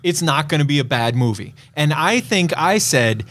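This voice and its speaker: A man's voice: a little high, lively intonation, moderate pace, a bit bright. A man co-hosting a casual film discussion podcast, giving his opinions in a confident, conversational way. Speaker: podcast co-host. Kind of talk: casual movie trailer discussion.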